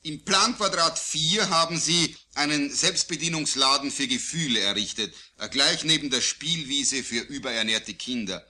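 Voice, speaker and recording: A man reciting his own poetry solemnly, in a declamatory, deliberate way with phrases falling at the line endings. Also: medium pitch, bright, forceful tone. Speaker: poet. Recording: digitized vintage vinyl record.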